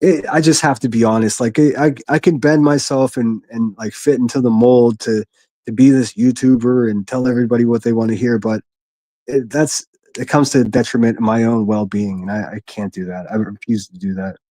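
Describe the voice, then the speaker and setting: A man with a gruff voice hosting a solo livestream from his quiet living room, reading chat and speaking bluntly with frequent restarts. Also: medium pitch, fairly even intonation, fairly quick, dry recording. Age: adult, a military veteran. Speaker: livestream host.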